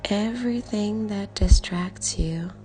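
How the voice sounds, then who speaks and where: A woman leading a guided body scan meditation in a calm, soothing way, speaking in short soft phrases with long pauses and a breathy edge. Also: medium pitch. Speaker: meditation guide, life coach. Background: background music.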